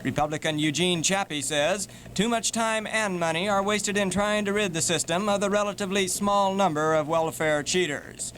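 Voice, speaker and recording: A man's voice: high-pitched, a bit bright, a little hiss or hum. An American man working as a radio DJ and news reader, speaking in 1960s AM radio style on an old tape recording. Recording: 1968 AM radio tape, music behind. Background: music.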